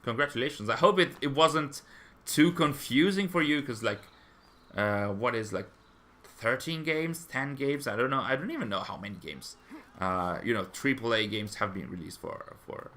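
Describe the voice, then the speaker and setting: A man's voice: medium pitch, animated, moderate pace, pushed and bright. A man hosting a fan live stream, chatting in a rambling, hesitant, conversational way and answering viewers. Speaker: fan channel stream host. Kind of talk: live stream chat, reading viewer comments.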